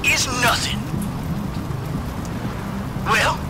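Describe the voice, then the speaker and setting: An actor playing a gruff middle-aged alien space pirate in a video game, with a folksy, taunting drawl. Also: male voice, very high voice, extremely slow, loud music behind the voice, exaggerated voice. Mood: gruff, suspicious. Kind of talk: animated game character acting.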